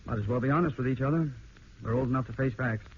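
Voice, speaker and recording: A man's voice: mid-range voice, quick pace, husky, natural voice. A man playing a frank, good-natured husband with troubles on his mind, in an old-time radio drama. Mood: reflective. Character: plain-spoken, rueful husband, kindly but troubled. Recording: dull, noisy old radio recording.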